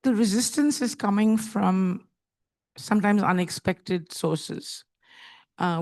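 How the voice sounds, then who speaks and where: A woman's voice: lowish voice, a little mellow. A woman from India speaking as a panelist, earnest and thoughtful, with some fillers as she speaks. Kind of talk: panel discussion remarks.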